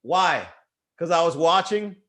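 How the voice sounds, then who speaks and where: A man's voice: fairly high pitch, expressive, a little slow, a bit bright. A Miami-accented man riffs on a casual comedy livestream from home. His talk is loose and slangy, full of bro and man, and he banters with chat over a webcam mic. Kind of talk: casual livestream stand-up riff.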